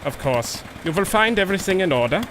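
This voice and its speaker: A man's voice: high voice, animated, a little fast, noisy background. A man playing a grandiose, vengeful baron, theatrical and menacing, in a pulp adventure drama.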